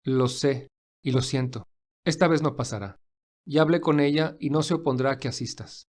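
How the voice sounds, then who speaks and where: A man playing a kind, busy, wealthy gentleman who speaks warmly and reassuringly in an audio fan drama. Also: mid-range voice, normal speed, close to an everyday voice. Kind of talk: acted audio fan fiction dialogue.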